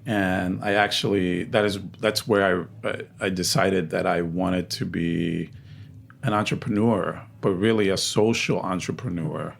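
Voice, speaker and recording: A man from the Dominican Republic and the Bronx answering interview questions in a reflective, halting way, searching for his words. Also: deep voice, steady tone, creaky, a little hiss or hum. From born in the Dominican Republic, raised in the Bronx from age five. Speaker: interview guest, social entrepreneur.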